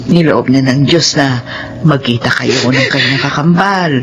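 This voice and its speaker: A woman playing a gentle, pious motherly figure in a Filipino melodrama, soothing and emotional. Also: very deep voice, measured pace, slight background noise. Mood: consoling, earnest. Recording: dull lo-fi sound with music behind.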